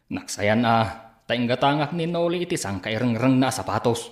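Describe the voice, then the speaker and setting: A man's voice: mid-range voice, normal speed, echoey room, natural voice. A man with a Filipino accent playing an exasperated husband who scolds reproachfully in a comedy radio drama. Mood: complaining. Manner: reproachful, expressive. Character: exasperated husband scolding his spendthrift wife.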